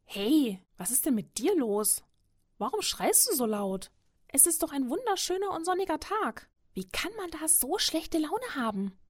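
A small, cheerful hare from a children's storybook, acted out by a woman. The voice sits very high and sounds close and dry. It coaxes and questions with persistent, friendly eagerness. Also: unhurried, no echo. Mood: cheerful, curious. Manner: lively, coaxing, inquisitive.

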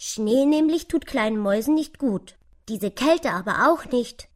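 A kindly, protective squirrel from a children's storybook, as a woman reader puts it on. It speaks up emphatically in a raised pitch, gently chiding and caring. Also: animated, measured pace. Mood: concerned, advising.

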